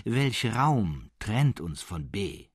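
A man acts out a serene but mad hermit monk in a literary audiobook. The voice is husky and moves in wide, animated arcs, eloquent and insistent as it argues with calm conviction. It sounds close and dry. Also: on the low side, drawn-out words, no echo, heavily acted. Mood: probing, measured. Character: serene, mad male hermit believing himself a martyred monk. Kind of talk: dramatic literary audiobook reading.

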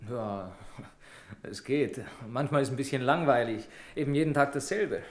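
A man's voice: medium pitch, moderate pace, close to an everyday voice. A man acting in a German radio play as a friendly, talkative young bank employee who eagerly explains things. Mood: easygoing. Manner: chatty, eager explainer, chuckling easily. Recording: echoey room.

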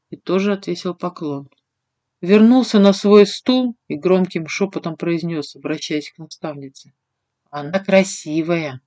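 A woman reading fiction as an audiobook narrator, with an expressive, measured delivery. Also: lowish voice, dry recording. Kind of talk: scripted fiction narration, first-person female storyteller.

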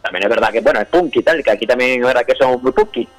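A man from Venezuela, a band founder, speaking as a guest on a podcast. He talks hesitantly, stumbling and restarting his sentences. His pitch is middle, with faint music underneath. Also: very fast. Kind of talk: casual interview over a call.

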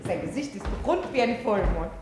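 An actress playing a playful, mocking unfaithful wife in a 1960s radio play, heard in an echoey space. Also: female voice, mid-range voice, animated, normal speed, natural voice. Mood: amused, mocking. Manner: playful, gossipy, breaking into laughter. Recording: echoey stairwell, noisy old radio recording.

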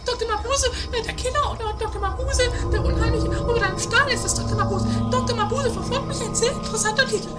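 Vain, nervy screen diva for radio comedy. Extremely high voice, whiny and theatrical, loud music over it. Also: female voice, fast, few pauses. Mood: chattering, dramatic. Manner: theatrical whining and lamenting, haughty. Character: melodramatic, nervy female film actress, vain and scatterbrained. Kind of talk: amateur comedic radio play acting.

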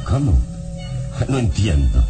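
A solemn, unshakeable hero of radio adventure, very deep and rich in rise and fall. He questions gravely and firmly over prominent music. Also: sing-song, exaggerated voice. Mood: stern. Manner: grave, deliberate, firm. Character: solemn, unshakeable male hero investigating murders. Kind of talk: old radio drama acting.

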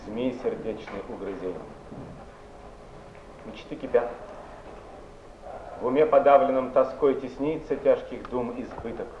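An actor playing a melancholy poet, reciting verse in a solemn, measured theatrical declamation. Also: male voice, fairly low pitch, lightly acted. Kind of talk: theatrical Russian poetry recitation. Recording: muffled noisy 1970s stage recording, echoey.

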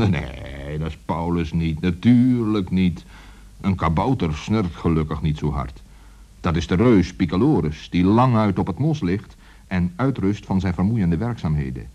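A man narrating a children's radio story in a lively, expressive storytelling style, with a husky voice. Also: sits low, measured pace. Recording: muffled old radio recording.

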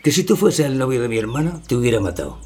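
An actor with a Spanish accent playing a coarse, taunting, short-tempered rural tough in a radio drama. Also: male voice, medium pitch, very animated, quick pace, warm tone, a little hiss or hum, natural voice. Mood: taunting, defiant. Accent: Spanish, from Spain. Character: sneering rural Spanish tough, provoking and irascible.

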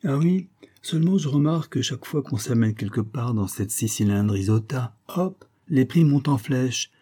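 A gruff, suspicious tourist husband acted by a male narrator in an audiobook story. He grumbles sardonically in a colloquial, husky voice with little shine to it. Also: medium pitch, animated, moderate pace, dark tone, voice pushed up. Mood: scornful.

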